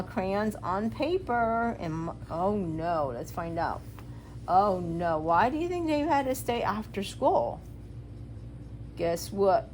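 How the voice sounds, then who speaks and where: A preschool teacher, a woman, reads a picture book to young children in an animated, instructive way, asking questions and explaining rules simply. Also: on the low side. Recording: clearly noisy room recording.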